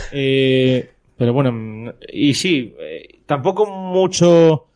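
Relaxed, hesitant Spanish-speaking man. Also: mid-range voice, slow pace. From Spain. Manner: relaxed, hesitant, many fillers. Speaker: guest. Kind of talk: casual podcast chat.

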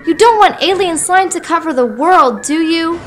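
A woman's voice: extremely high pitch, animated, normal speed, faint music behind. A woman playing a cheeky young girl hooked on video games in a radio play, whiny and defiant.

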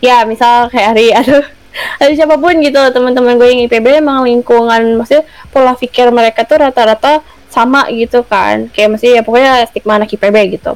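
A young woman with a Jakarta accent chats casually, filling her talk with little hesitations and English phrases. Her voice sits a bit high, and the sound is dry and close. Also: steady tone, fast, no room sound. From Jakarta, Indonesia. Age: young adult. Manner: chatty, mixes English phrases, many fillers. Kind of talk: casual chat.

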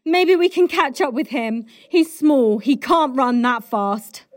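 A woman's voice: high voice, expressive, slow. A British woman playing a cynical, irritable political staffer in an audio comedy, blunt and scathing. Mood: urgent. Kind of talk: audio comedy drama acting.

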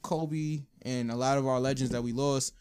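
A man with a medium-pitched voice talks as a podcast co-host. His delivery is casual and slangy, with subdued, low energy, as in an informal chat. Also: fairly even intonation, moderate pace, a little mellow, a little hiss or hum. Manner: informal urban slang, frequent "you know what I'm saying". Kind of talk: casual conversational podcast talk.

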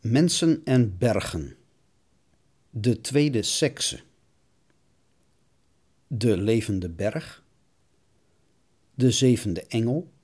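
A man's voice: lowish voice, expressive, very slow, pauses often, a little mellow, slightly rough. A man hosting a podcast, reading scripted narration in a wry, tongue-in-cheek way. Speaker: podcast host.

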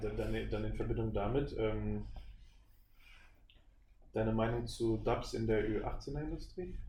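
A man hosting a podcast, asking casual questions in a halting way as he searches for words. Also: lowish voice, steady tone, dark tone. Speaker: podcast host. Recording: distant mic, roomy echo, noticeable noise.

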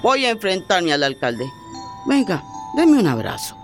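An actress playing a loving, determined mother in a radio drama, tender and expressive in her delivery. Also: medium pitch, measured pace. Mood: resolute, loving. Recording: music behind the voice.

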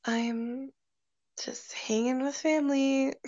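A woman in her late twenties joining as co-host, playful and quick to get defensive, swearing a lot. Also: high voice, steady tone, darkish tone, muffled recording. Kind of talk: casual chat.

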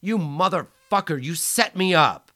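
A man voicing a young male hustler, his voice pushed high, strained and pleading. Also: animated, a little slow, bright, forceful tone, full character voice. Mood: angry.

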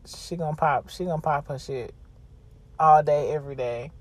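A woman's voice: low voice, normal speed, no room sound. A woman podcast host chatting casually and confessionally, blunt, slangy and freely swearing.

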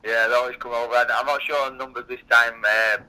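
A man's voice: medium pitch, level tone, fast, over a noisy crowd, poor audio. A man of thirty with a Yorkshire accent, a fighter chatting as a guest, often repeating words as he restarts sentences. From England. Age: 30s. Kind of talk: casual phone interview.

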